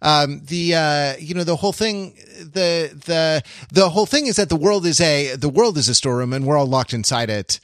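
A man's voice: a little high, normal speed, few pauses, fairly bright tone, no room sound. A man co-hosting a discussion podcast, thinking aloud analytically and often repeating and rephrasing as he searches for words. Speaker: podcast host. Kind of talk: analytical discussion of classic television.

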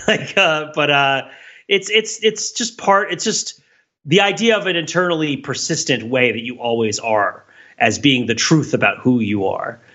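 A man's voice: mid-range voice, a little slow, sharp tone. A middle-aged man co-hosting a podcast in casual conversation, discursive and analytical with wry humor, recorded with a dull, lo-fi sound. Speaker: podcast co-host.

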